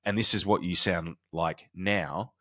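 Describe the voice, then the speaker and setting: Deep-voiced man hosting a podcast. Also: unhurried, sharp tone, no room sound, clean studio sound. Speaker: podcast host and sales coach. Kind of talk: conversational solo podcast talk, explaining and advising.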